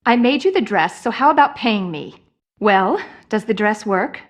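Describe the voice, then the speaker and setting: A woman's voice: mid-range voice, animated, moderate pace, close to an everyday voice. A woman voice actor playing an aggrieved, fed-up dressmaker in a video game, in slightly dull audio.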